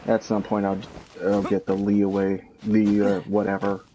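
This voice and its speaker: Low-voiced, slow-talking man streaming a game. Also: monotone, mellow, slight background noise. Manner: halting, grumbling, dry. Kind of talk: casual live gameplay commentary.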